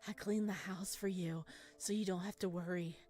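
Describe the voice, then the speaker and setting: A woman's voice: lowish voice, steady tone, normal speed, a little mellow, soft background music, natural voice. A woman voicing a clingy, eerie male creature in a visual novel, pleading and hesitant as he tries to please. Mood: eerily devoted.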